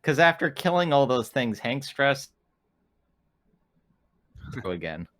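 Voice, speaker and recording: A man's voice: mid-range voice, big pitch swings, slow, fairly bright tone, no room sound. Casual male gamer, very dry sound. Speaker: tabletop game player. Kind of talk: casual game chat.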